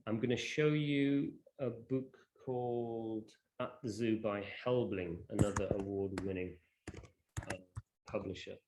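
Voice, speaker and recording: A man presenting a software demonstration informally, in a hesitant, off-the-cuff way. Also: on the low side, fairly even intonation, unhurried, noisy background. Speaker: presenter demonstrating a digital library.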